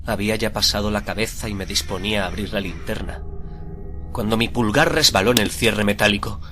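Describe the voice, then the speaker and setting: Man playing a low-voiced, obsessive murderous madman. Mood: hushed, sly. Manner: theatrical, deliberate, swelling from hushed to frenzied. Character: nervous, obsessive, murderous madman confessing his crime. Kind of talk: dramatic radio fiction monologue. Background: music under the voice.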